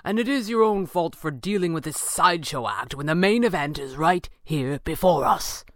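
A man's voice: high voice, big pitch swings, slow, pushed and bright, no room sound, studio quality, voice pushed up, full character voice. A man voicing a menacing, power-hungry villain in a pulp adventure audiobook, mocking and grandiose. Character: menacing, ranting, power-hungry male villain, sneering and theatrical.

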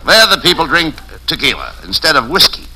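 Gullible cop sidekick, highish voice with lively swings, vintage radio comedy, crackly sound. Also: male voice, a little fast. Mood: eager. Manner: animated comic straight man. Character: excitable, gullible police lieutenant, detective's comic sidekick. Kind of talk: old-time radio comedy acting.

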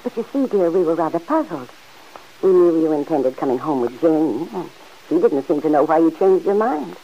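An actress playing a gentle, worried older aunt in a 1940s radio drama, speaking softly and hesitantly. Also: female voice, low-pitched, lively intonation, normal speed, lo-fi sound.

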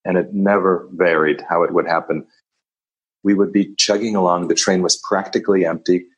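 Very deep-voiced wry storyteller man. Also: steady tone, normal speed, breathy edge, dull audio. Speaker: journalist, interview guest. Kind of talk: anecdotal storytelling.

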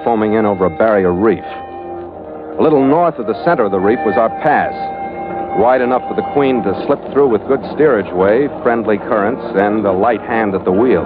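A man's voice: fairly low pitch, close to an everyday voice. An American man acting a tough, weathered ship's skipper in a 1940s radio drama, narrating his log in a hard-boiled, clipped way. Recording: tinny old radio recording, music behind.

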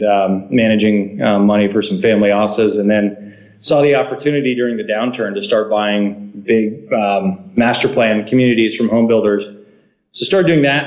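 A man, a company co-founder, telling his story as a guest in a casual interview with many fillers. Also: medium pitch. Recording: echoey, dull remote line.

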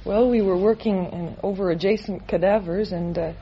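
A woman's voice: mid-range voice, fairly even intonation, moderate pace, a loud crowd. An American woman in her twenties giving matter-of-fact, unscripted answers as a contestant. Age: 20s. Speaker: contestant, resident physician. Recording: dull old radio recording, faint noise.

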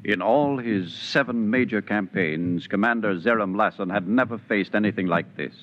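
A man acting as a grave narrator of a military space adventure. His voice is low and dramatic, in 1950s radio drama style. The recording sounds dull and aged. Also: moderate pace, lightly acted.

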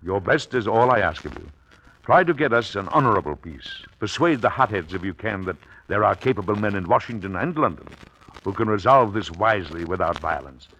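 An actor playing a dignified, middle-aged president and father in old-time radio drama, formal, measured and easily exasperated. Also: fairly low pitch, lively intonation, a bit bright, poor audio. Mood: grave, measured. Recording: old radio recording, faint hiss.

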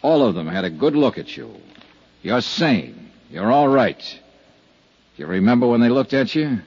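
An actor playing a steady, hard-boiled insurance investigator in a 1950s radio drama, clipped and matter-of-fact, with an American accent. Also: male voice, medium pitch, measured pace, slightly rough, no room sound. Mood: firm, pressing. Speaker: narrator and lead actor. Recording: dull 1950s radio recording, music behind.

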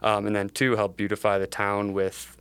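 A young man from the Chicago area speaks in a low, level voice, strikingly clean and resonant, recorded close and dry. He answers like a startup founder in a casual interview, thinking aloud in a meandering way. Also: monotone, normal speed, fairly bright tone, smooth, no room sound. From Chicago area, USA. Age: young adult. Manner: thoughtful, meandering phrasing.

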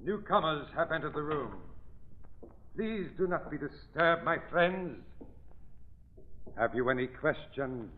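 A man's voice: on the high side, expressive, measured pace, pauses often, slight background noise. A man playing a pompous, fraudulent séance medium in 1940s radio drama, formal and theatrical. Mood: hushed, solemn. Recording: muffled vintage radio recording.

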